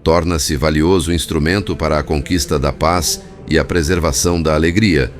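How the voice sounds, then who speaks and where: A Brazilian man reading a spiritist book as scripted narration, in a formal, measured manner. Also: extremely low pitch, steady tone. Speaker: audiobook narrator. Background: background music.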